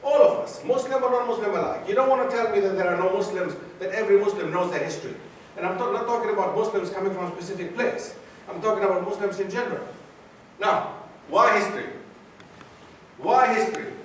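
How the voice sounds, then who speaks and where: A man's voice: high voice, fast, a little hiss or hum. A man giving a lecture to a student society, questioning the audience and repeating his key points. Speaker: guest lecturer. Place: lecture hall. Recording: very echoey hall from far off.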